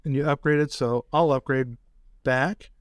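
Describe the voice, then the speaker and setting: A man playing a tabletop roleplaying game, casually narrating his action in a halting, deliberate way. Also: mid-range voice, steady tone, dry recording.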